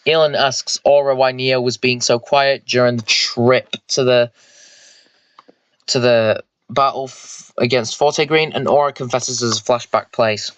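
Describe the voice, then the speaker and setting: A man's voice: mid-range voice, fairly even intonation, unhurried, a bit bright, smooth, dry recording, rough recording. A man reading scripted story narration, stumbling now and then over his lines. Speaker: voice actor and narrator.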